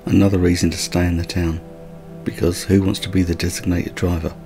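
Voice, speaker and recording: A man's voice: deep voice, flat delivery, fairly quick, mellow, soft background music. A man narrating a countryside road trip as a voice-over, with light chatty asides. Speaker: travel vlogger. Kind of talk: scripted travel narration.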